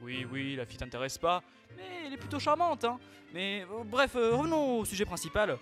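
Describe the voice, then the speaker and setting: A teenage boy plays a grumbling comic sidekick in a radio play. His voice is on the high side, slangy and sarcastic, with some music behind it. He sounds put upon, yet goes along grudgingly. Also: big pitch swings, fairly quick, fairly bright tone. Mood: mocking. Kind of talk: student radio comedy acting.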